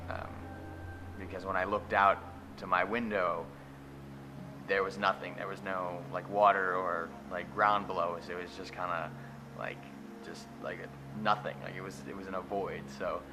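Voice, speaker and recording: A man casually recounting a dream in a halting, hesitant way. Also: sits low, little pitch movement, background noise. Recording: music behind the voice. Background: music.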